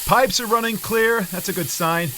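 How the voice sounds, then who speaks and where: An actor playing an easygoing, practical house flipper with a casual, understated delivery in a screenplay reading. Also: male voice, sits high, normal speed, noisy background, close to an everyday voice. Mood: laid-back. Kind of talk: screenplay table-read acting.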